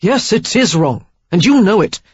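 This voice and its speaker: Brief: woman doing an outraged, straitlaced young suitor, husky and clipped. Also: mid-range voice, sing-song, normal speed, pitched above the performer's own voice. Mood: stern, outraged. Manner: stern, clipped and reproachful. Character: stern, prudish young man, easily outraged. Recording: slightly dull lo-fi recording.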